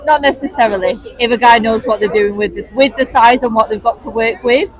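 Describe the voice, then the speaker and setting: A woman's voice: on the high side, a little fast, some chatter behind, low-quality recording. A young woman, answering a street interviewer bluntly and cheekily, outdoors on a street.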